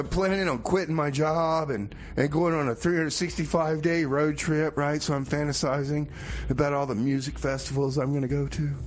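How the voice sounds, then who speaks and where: A man hosting a community radio music show, with a folksy, theatrical, halting delivery that repeats phrases. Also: a little high, slight background noise.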